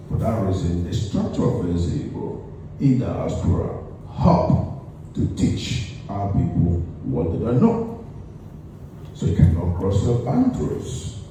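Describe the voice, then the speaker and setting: Older-ish guy, deep with a lot of swing in his voice, far from the mic, faint hiss. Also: warm tone. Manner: measured, declaring and listing points one by one. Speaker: community leader. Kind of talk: formal interview answers. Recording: echoey room, voice far from the mic, faint noise.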